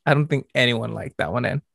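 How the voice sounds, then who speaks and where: A young man co-hosting a podcast, teasing his friend with quick comebacks in casual chat. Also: medium pitch, creaky, fairly bright tone, husky, no echo, clean studio sound. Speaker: podcast co-host.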